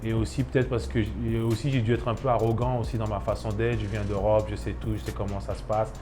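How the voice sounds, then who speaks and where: A man's voice: lowish voice, level tone, fast talker, over music. A man in his thirties from Ivory Coast, a chef and restaurant owner, speaking in a documentary interview with many hesitations and restarts.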